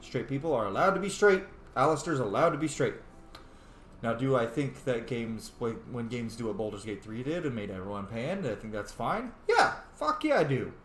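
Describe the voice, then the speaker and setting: A man's voice: medium pitch, big pitch swings, normal speed, fairly bright tone. Playful man streaming, roomy and noisy. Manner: playful, opinionated, swears casually. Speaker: game streamer. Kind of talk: casual roleplay game commentary. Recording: roomy echo, clear background noise.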